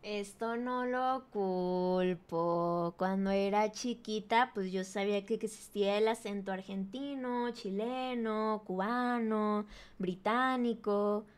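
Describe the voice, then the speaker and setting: A woman with a Mexican accent giving casual, playful, expressive commentary as host of a reaction video. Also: mid-range voice, a little slow, clean tone.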